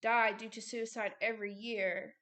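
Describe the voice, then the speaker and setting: A woman with a fairly high voice delivers religious commentary, moving between reading aloud and her own explanations. She is emphatic and often repeats a phrase to drive it home. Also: flat delivery, moderate pace, a bit bright. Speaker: host, self-described prophet reading a book aloud with commentary.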